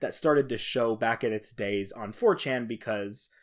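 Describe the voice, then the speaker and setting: A man's voice: mid-range voice, unhurried. A man hosting a podcast alone, explaining things in a casual, informal, conversational way. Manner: informal, conversational, with occasional swearing. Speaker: podcast host. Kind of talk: casual solo explainer on horror fiction.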